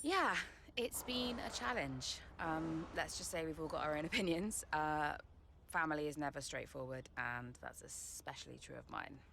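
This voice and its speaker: An actress playing a young racing driver in a video game cutscene, a frank and defiant daughter. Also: female voice, low-pitched, moderate pace, creaky, a bit bright, slight background noise. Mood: measured, guarded. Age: young adult.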